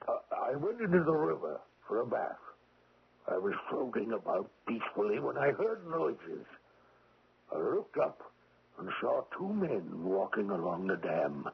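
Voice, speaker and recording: An actor playing a humble, deferential peasant shepherd who speaks haltingly in a radio drama. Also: male voice, on the low side, creaky, a little hiss or hum, full character voice. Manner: hesitant, humbly respectful, explains haltingly. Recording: dull 1970s radio drama recording.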